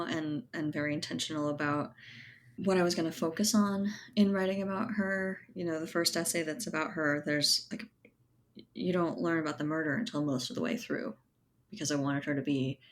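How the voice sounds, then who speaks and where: A woman speaking as a guest author in a casual, reflective interview, thinking aloud in loose, informal clauses. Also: sits low.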